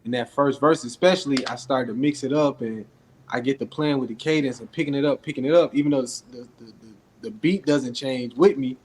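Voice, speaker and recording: A young man with a naturally raspy voice, deliberately projecting so he isn't heard as too quiet. He talks laid-back with many fillers, on a podcast mic. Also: mid-range voice. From Atlanta, US. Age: young adult. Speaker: rapper guest. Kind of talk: casual interview talk.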